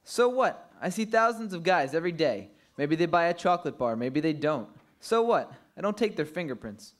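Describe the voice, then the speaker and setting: An actor playing a surly, evasive working-class young candy seller on a train in an old-time radio play. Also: male voice, a little high, big pitch swings, moderate pace. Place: live stage performance at a convention.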